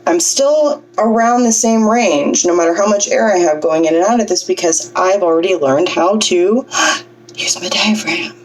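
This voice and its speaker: A woman with a low voice giving a casual, chatty breathing lesson, often checking in with "okay?". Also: moderate pace, recorded from a distance, rough recording. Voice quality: low singing voice. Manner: chatty, self-deprecating, coaching with frequent "okay?" checks. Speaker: vlogger teaching breathing. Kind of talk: casual instructional vlog.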